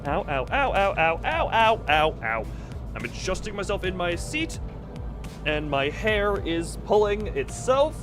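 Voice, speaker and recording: A man's voice: sits high, sing-song, unhurried. A man chatting casually on a game livestream, rambling with playful asides. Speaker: livestreamer playing and voicing a game. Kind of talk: casual live stream chat. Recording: faint game music behind.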